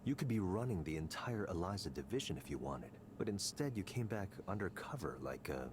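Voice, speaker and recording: An actor playing a smug, calculating tech executive in a video game, speaking in a smooth, condescending, deliberate way. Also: male voice, deep voice, very animated, noisy background. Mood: probing, needling. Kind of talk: scripted visual novel dialogue.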